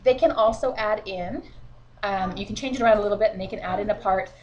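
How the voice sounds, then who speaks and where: A woman talking to her team from home while fighting a cold, her voice a bit sniffly. She speaks in a chatty, encouraging way with plenty of filler words, and her pitch sits right in the middle. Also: fast talker, muffled recording. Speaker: sales team leader. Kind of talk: casual instructional vlog. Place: at home, children beside her.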